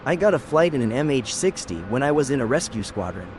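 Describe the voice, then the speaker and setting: A male voice reading out short viewer chat messages in a flat, even way. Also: mid-range voice, fast, a little hiss or hum.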